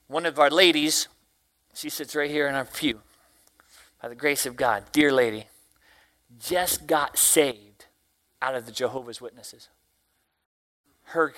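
A man's voice: fairly high pitch, bright, forceful tone. A middle-aged man from Tennessee with a Southern accent, preaching a folksy sermon to a congregation and asking them questions.

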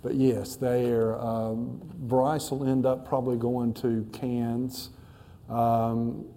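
A man's voice: medium pitch, little pitch movement, slow, mellow. A middle-aged man, a missionary pastor, talking to a church congregation in a folksy way with many fillers and restarts.

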